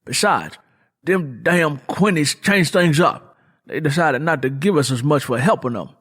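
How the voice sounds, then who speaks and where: A man voicing a poor, defiant young rural laborer with a quick temper and folksy country speech in an audiobook. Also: a little high, expressive, fairly bright tone, husky, heavily acted. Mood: worried, frustrated. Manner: folksy rural vernacular, double negatives. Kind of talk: audiobook character dialogue.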